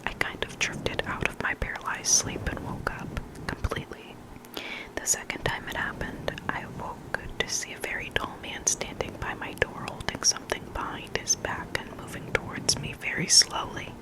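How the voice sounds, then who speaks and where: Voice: normal speed, rough recording. A person whispering scary stories in an ASMR reading style, close to the microphone, sometimes repeating words. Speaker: ASMR reader of listener stories. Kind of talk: whispered ASMR storytelling, reading creepy anecdotes.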